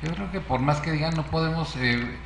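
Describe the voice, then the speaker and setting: A man's voice: on the high side, moderate pace, a bit bright, roomy sound, a little hiss or hum. A man from Yucatán with a Mexican accent, hosting and reflecting on art while he searches for words. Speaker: sociologist, sculptor and interviewer. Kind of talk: interview talk.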